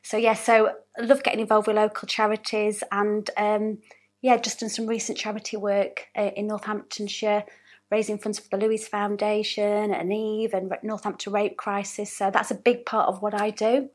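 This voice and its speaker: A woman's voice: mid-range voice, flat delivery, moderate pace. A woman with a Yorkshire accent, a business owner telling her life story as an interview guest in a chatty storytelling manner. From South Yorkshire, England. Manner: chatty, warm storytelling with frequent ums. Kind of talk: relaxed interview conversation.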